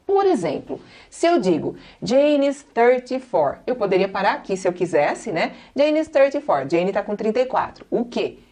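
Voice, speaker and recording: A Brazilian woman in her forties with a Brazilian Portuguese accent, an English teacher patiently explaining and slowly repeating each example. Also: medium pitch, big pitch swings, a little mellow. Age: 40s. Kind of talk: classroom-style explanation.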